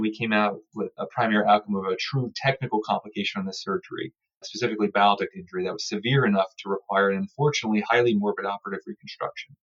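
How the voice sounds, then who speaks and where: A man's voice: fairly low pitch, fairly bright tone, dull audio. A man speaking as a research guest in an interview, giving formal, articulate technical explanations.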